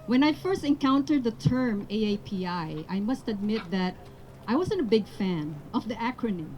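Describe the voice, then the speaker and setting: A woman's voice: mid-range voice, lively intonation, normal speed, a little mellow, a little hiss or hum, rough recording. A woman from the Philippines whose first language is Tagalog, giving an earnest public speech at a rally outdoors. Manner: earnest, building to emphatic appeals. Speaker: rally speaker.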